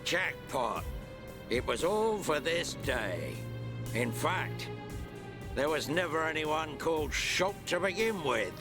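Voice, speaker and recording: A man's voice: fairly high pitch, very animated, takes it slowly, over music. A man voicing a mocking, callous traitor in a video game, sneering and taunting. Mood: mocking, gloating. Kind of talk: video game cutscene acting.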